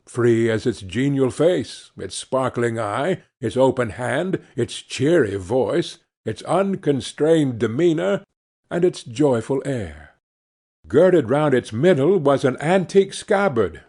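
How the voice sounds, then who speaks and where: A man reads a classic novel aloud in a slow, husky voice. His pitch moves a great deal as he tells the story, measured and expressive. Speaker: audiobook reader.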